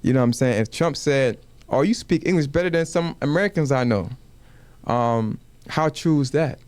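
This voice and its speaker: A man whose first language is Wolof, talking in a casual studio panel in a measured way and asking rhetorical questions. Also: medium pitch, darkish tone, clear, resonant tone, dry recording. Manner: measured, asks rhetorical questions, says "you know what I'm saying". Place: community media studio.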